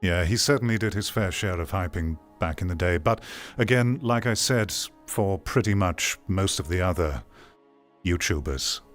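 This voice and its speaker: Deep-voiced man giving opinionated commentary. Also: moderate pace, soft background music. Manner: opinionated, emphatic, repeats phrases for stress. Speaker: streamer hosting a VR gaming commentary show. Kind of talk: off-the-cuff critical commentary reacting to a video.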